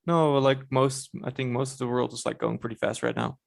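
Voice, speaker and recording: A man's voice: mid-range voice, fairly even intonation, brisk, creaky. A man being interviewed in casual conversation, talking hesitantly with many restarts and fillers.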